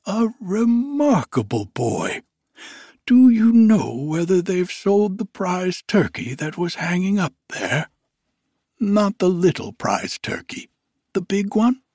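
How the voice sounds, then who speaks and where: A man voicing an elderly, formerly miserly man who is now giddy with delight, exclaiming in a high, breathless voice. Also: very animated, slow, dark tone, no echo, studio quality, exaggerated voice. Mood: overjoyed.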